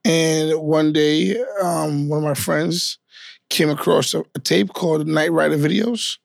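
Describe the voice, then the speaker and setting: Male dancer guest, from New York; gravelly, easygoing, dry close sound. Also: a little high, steady tone, measured pace, no echo. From New York, family from Guyana. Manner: easygoing, loose storytelling with many fillers. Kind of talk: casual interview chat.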